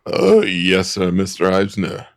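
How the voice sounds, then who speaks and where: Man as a slow, timid office underling. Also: low-pitched, sing-song, gravelly, no room sound, clean studio sound, heavily acted. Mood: nervous, deferential. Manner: hesitant, halting, obsequious. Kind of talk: comic radio drama acting.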